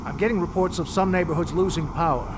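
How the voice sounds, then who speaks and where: An actor playing an earnest ally in a video game, giving serious, informative mission briefings. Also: male voice, fast, lightly acted. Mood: serious, encouraging. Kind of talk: video game cutscene acting. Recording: loud noisy game ambience, humming rumble.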